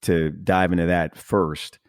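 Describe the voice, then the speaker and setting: A man with a deep voice hosts a casual interview podcast. He talks effusively and rambles through his thoughts. The sound is dry, with very little room. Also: flat delivery, slow, no room sound. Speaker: podcast host.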